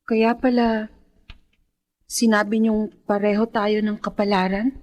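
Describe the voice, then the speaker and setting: An actress playing a troubled grown daughter, earnest and questioning, in a radio horror drama. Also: female voice, medium pitch, flat delivery, slow, a little mellow, close to an everyday voice. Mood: curious, troubled.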